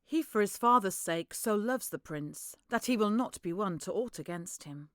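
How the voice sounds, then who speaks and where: A woman's voice: mid-range voice, animated, moderate pace, no echo, clean studio sound, natural voice. A woman playing a dutiful, discreet go-between to plotting lords in Shakespearean audio drama. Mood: deferential.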